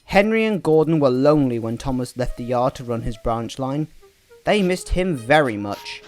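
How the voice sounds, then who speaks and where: A man's voice: medium pitch, lively intonation, normal speed, a little mellow, faint music behind, lightly acted. A man narrating a children's story as a scripted storyteller. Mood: storytelling.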